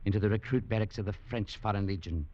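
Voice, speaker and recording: An actor playing an earnest young gentleman, narrating in a measured storytelling manner in an old radio drama. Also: on the low side, natural voice. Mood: grave. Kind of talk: 1940s radio acting. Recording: lo-fi radio recording with music.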